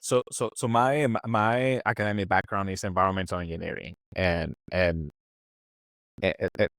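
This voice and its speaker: A man in his thirties with a Puerto Rican Spanish accent, his voice sitting very low. He answers interview questions casually, stopping and restarting often with many fillers. The sound is dry and close to the mic. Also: fairly even intonation, normal speed, a bit bright, no room sound. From born in Venezuela, raised in Puerto Rico. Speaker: guest, environmental engineer.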